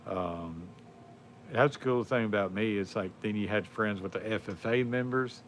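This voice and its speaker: A man's voice: on the low side, normal speed, slight background noise. A man, chatting casually on a livestream in a rambling, laid-back way with lots of fillers. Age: 40s. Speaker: livestream host. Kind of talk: casual chat.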